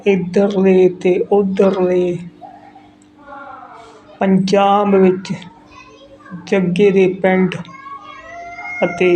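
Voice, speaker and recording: A storyteller with a soft, mellow voice reads at a very slow pace, halting phrase by phrase. The delivery stays fairly even in pitch, and there is some noise in the recording. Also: muffled recording. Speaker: narrator of folk tales. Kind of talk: slow read-aloud storytelling narration.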